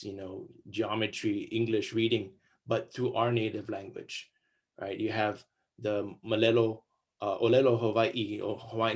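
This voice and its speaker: A man from Guam giving an enthusiastic panel presentation over a video call, listing example after example with occasional fillers. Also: on the low side. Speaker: guest speaker on curricula.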